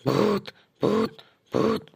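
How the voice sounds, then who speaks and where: A performer voicing a stern, weary guru who rules the ghosts in a Bengali horror comedy cartoon. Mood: exasperated calling. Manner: stern, exasperated, drawn-out calls.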